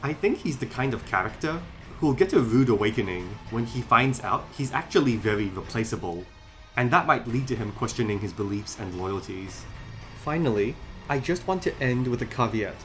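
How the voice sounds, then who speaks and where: A man hosting a scripted video essay about game lore, explaining in a measured way. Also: mid-range voice. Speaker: video host.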